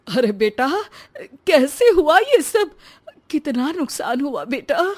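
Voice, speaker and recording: A woman's voice: sits high, very animated, takes it slowly, exaggerated voice. A woman playing a caring, worried mother of a grown son in a story, speaking with concern. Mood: alarmed.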